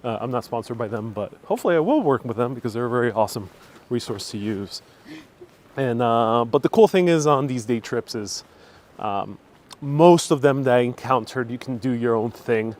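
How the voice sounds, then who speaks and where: A man's voice: medium pitch, expressive, moderate pace, a little mellow. A man hosting a casual, conversational travel livestream outdoors, talking in a slightly hesitant, informal way. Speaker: travel vlogger, livestream host. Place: outdoors on a castle tour. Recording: faint outdoor background noise.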